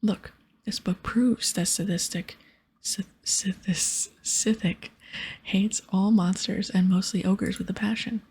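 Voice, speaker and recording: An earnest adventurer from a fantasy game, voiced by a woman. The voice is very breathy, often a whisper, with slight creak. The manner is polite and persuasive. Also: medium pitch, steady tone, slow pace, a little mellow, heavily acted, low-quality recording. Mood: persuading. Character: earnest adventurer investigating a crime.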